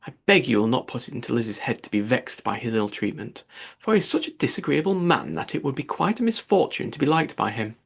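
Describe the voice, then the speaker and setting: A man's voice: medium pitch, very animated, a little fast, vocal fry, husky, heavily acted. Man voicing a gossipy, indignant mother, swooping intonation. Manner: effusive, opinionated, exclamatory. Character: gossipy, indignant middle-aged English mother. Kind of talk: audiobook character dialogue.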